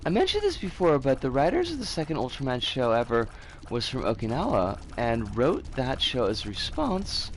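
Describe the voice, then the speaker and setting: A man with a scratchy, hoarse voice from an oncoming cold, chatting casually and opinionatedly with some swearing as he streams a game. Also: medium pitch, wildly swooping pitch, normal speed. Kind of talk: casual live game commentary and chat.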